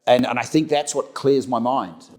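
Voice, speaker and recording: A man's voice: medium pitch, fairly even intonation, moderate pace. A middle-aged man with a New Zealand accent, answering interview questions conversationally, often repeating words and restarting as he goes. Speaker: interview guest, company director.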